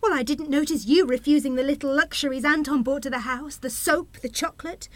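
A woman's voice: high voice, fairly quick, dry recording, lightly acted. An actress in a radio play playing a bitter, fiercely protective young mother, indignant and cutting in her delivery. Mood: indignant, accusing.

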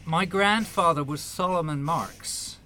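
An actor playing an earnest, persistent young man in a radio drama, speaking politely and haltingly. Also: a little high, sharp tone, a little hiss or hum. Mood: insistent.

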